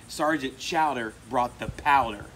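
A middle-aged American man with an expressive, emphatic delivery, presenting and reading a children's picture book aloud. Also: medium pitch, slow pace, poor audio. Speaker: volunteer storybook reader. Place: outdoors among palm trees. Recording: outdoor recording with insect noise. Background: crickets and insects.

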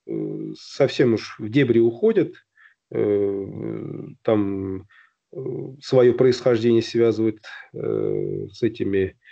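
A middle-aged man with a gravelly, mellow voice talks over a video call, explaining at length. He hesitates often, filling gaps and restarting his phrases. The audio is dull and lo-fi, like a webcam mic. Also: lowish voice. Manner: halting, many fillers and restarts, explanatory. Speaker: guest on a video-call round table. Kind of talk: casual discussion. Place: indoor room at home. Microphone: video call, phone or webcam close to the face.

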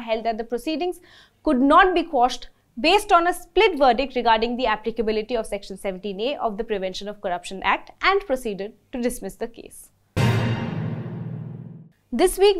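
A young woman in her twenties reading weekly legal news from a script in a measured, formal way, her voice falling at the end of sentences. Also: sits high. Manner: measured, formal delivery, voice dropping at sentence ends. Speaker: news presenter. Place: dark studio.

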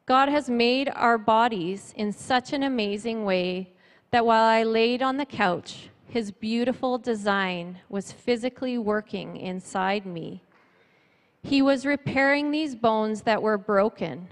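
A middle-aged woman speaking at church in a measured, earnest way, giving testimony and scripture from notes on a handheld microphone. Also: fairly high pitch. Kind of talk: sermon with personal testimony and scripture reading. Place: church stage before a seated audience, indoors. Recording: reverberant hall, amplified church sound.

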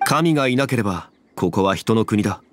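An actor playing a calm, dignified immortal former god in a fantasy game, speaking in a composed and formal way. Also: male voice, lowish voice, fairly quick, noisy background, lightly acted. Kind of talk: Japanese game voice acting, dramatic dialogue.

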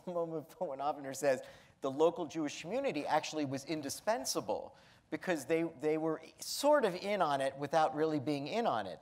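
An American man moderating an onstage interview, adding quick remarks and jokes. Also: a little high. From United States. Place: theater stage with live audience.

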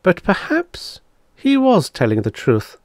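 A man voicing an anxious, stubborn, sharp-tongued woman in an audiobook, with an emotional, doubtful delivery. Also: high-pitched, wildly swooping pitch, moderate pace, no room sound, studio quality, voice pushed up, full character voice. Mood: shaken, doubtful. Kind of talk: audiobook character dialogue.

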